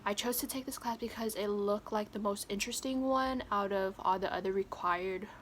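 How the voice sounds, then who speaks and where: Young woman from American Samoa, student, casual and halting, faint room noise. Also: mid-range voice. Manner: hesitant, many ums, plain and friendly. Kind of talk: casual video assignment answers. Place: home in San Francisco.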